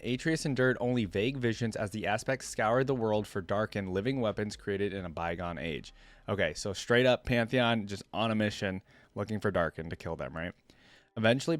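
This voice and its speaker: A man's voice: fairly low pitch, no room sound. A man hosting a game lore reaction video, reading text aloud and adding informal remarks with little tag questions. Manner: informal, often checks in with tags like "right?" and "yeah?".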